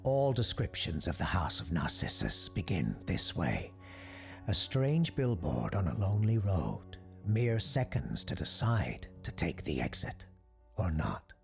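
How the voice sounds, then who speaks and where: An actor playing a composed, earnest parapsychologist hosting an eerie radio show, speaking in a measured, formal announcer style. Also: male voice, deep voice, big pitch swings, creaky, soft background music, heavily acted. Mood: ominous, storytelling. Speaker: host of a paranormal call-in radio show. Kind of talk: scripted radio-show narration.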